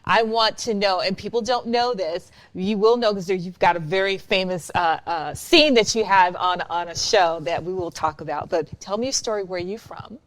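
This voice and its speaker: A woman interviewing someone in a casual, chatty way, effusive and animated. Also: mid-range voice, moderate pace, hardly any pauses.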